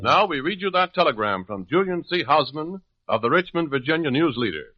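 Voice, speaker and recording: A man's voice: on the high side, animated, brisk, loud music behind the voice. A man announcing for 1950s radio with an emphatic, polished sales delivery. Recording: dull old radio recording.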